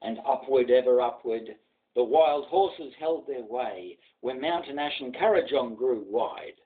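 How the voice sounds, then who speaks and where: A man's voice: medium pitch, a little slow, narrow, tinny audio. A man with an Australian accent giving a dramatic recitation of poetry. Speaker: poetry reader.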